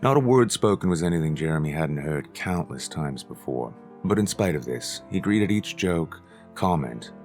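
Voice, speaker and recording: A man reading a short story aloud as its narrator, in a measured, even storytelling manner. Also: very deep voice. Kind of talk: scripted audiobook narration.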